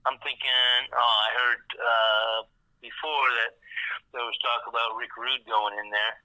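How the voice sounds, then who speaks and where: A man's voice: mid-range voice, fairly even intonation, unhurried, pushed and bright. A man calling in by phone to co-host a podcast, joking and swearing casually. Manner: joking, profane. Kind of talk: casual chat. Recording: tinny phone line.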